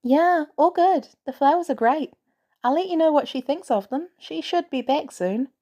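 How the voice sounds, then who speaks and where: A woman's voice: high voice, expressive, normal speed, warm tone, dull audio, voice pushed up. A woman voicing a reserved, helpful teenage girl intern with short, casual replies in a story reading. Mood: upbeat, brief.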